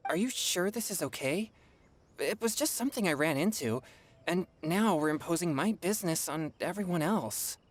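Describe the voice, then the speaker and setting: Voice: medium pitch, lively intonation, normal speed, close to an everyday voice. An actor playing a modest, earnest, polite youth in an anime video game, formal and apologetic. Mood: hesitant.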